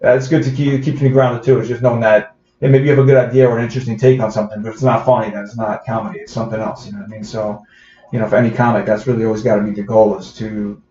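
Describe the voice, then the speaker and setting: A quick-talking man with a thick Bronx accent, rambling through a casual podcast chat with plenty of fillers and restarts. The audio is dull and roomy, with a faint background hum. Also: lowish voice, little pitch movement, dark tone. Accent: Bronx New York. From the Bronx, New York. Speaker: guest comedian.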